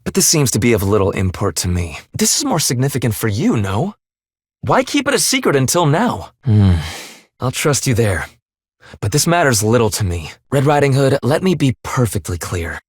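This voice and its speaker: An earnest, remorseful man from a dramatic video game, a devoted husband who speaks with formal care. His medium-pitched voice has a breathy, husky grain. The phrasing is measured and solemn, recorded close and dry. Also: big pitch swings, dry recording. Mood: calm, formal.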